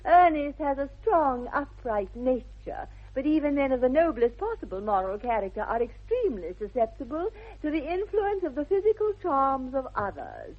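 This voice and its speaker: A woman's voice: sits high, very animated, moderate pace, hardly any pauses. An actress playing a haughty, self-assured young aristocratic lady with an upper-class English accent and affected, precise politeness, in a 1960s radio comedy of manners. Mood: haughty, candid. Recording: dull vintage 1960s radio recording.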